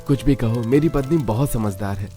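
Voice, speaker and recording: A man's voice: medium pitch, a little fast, mellow, close to an everyday voice. An earnest young husband and devoted son voiced by a man in a Hindi cartoon story. He speaks in exclamations, with faint music underneath. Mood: eager.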